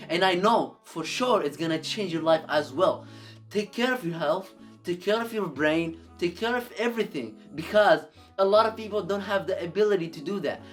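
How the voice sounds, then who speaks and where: A man's voice: high-pitched, expressive, moderate pace, soft background music. Earnest man, Arabic first language. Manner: earnest, repeats and restarts words. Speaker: YouTuber. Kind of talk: motivational advice to camera.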